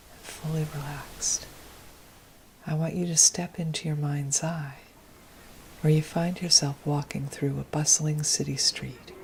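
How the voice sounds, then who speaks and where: A woman leading a guided meditation in a gentle, unhurried, breathy voice that often nears a whisper. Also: sits low, slight background noise, rough recording. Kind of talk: guided meditation, slow soothing narration. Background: meditation music.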